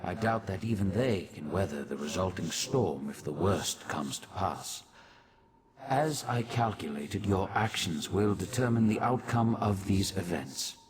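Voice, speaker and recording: An aloof, cerebral sage in a fantasy game. His deep voice is measured and analytical, with a clear murmur of voices behind it. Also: lively intonation, a crowd in the background. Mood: detached, pondering. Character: calm, calculating ancient male sage, aloof and cerebral. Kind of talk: fantasy video game dialogue.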